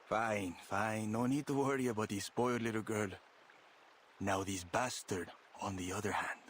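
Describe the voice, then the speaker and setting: An actor playing a gruff, suspicious gang member in a video game, rough and sardonic in manner. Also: male voice, fairly low pitch, steady tone, moderate pace, slight background noise, close to an everyday voice. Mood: suspicious, scornful. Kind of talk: video game character acting.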